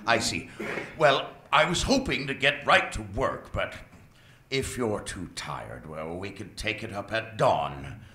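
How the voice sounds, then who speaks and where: A man's voice: lowish voice, expressive, pushed and bright, heavily acted. A man playing a sly, drawling mad scientist. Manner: slow, drawling and sly, with purring pauses. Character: sinister, scheming older male mad scientist. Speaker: research scientist. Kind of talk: amateur radio-play acting. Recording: roomy echo, faint hiss.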